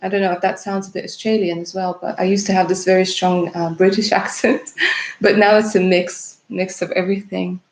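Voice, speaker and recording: A woman's voice: medium pitch, flat delivery, a little fast, a little mellow. A Russian-born woman, a native Russian speaker, who works as a tarot reader and chats with viewers on a live stream. She laughs often and calls them darling.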